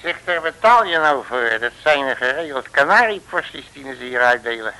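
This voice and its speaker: An actor in a 1950s radio serial playing a grumbling, stubborn detective with a blunt, slangy, exclamatory way of talking. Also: male voice, mid-range voice, lively intonation, normal speed, pushed and bright, low-quality recording.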